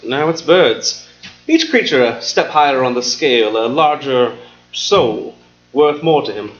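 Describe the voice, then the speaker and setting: An actor playing a calm asylum doctor in a live radio play, controlled and explanatory. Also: male voice, mid-range voice, a little slow, lo-fi sound, soft background music, close to an everyday voice. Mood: explaining. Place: stage before a live audience.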